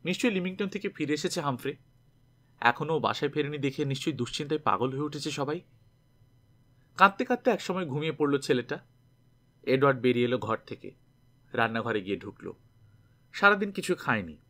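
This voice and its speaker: A man narrating a scripted story dramatically, with expressive delivery as an audiobook reader. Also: a little high, brisk, long pauses.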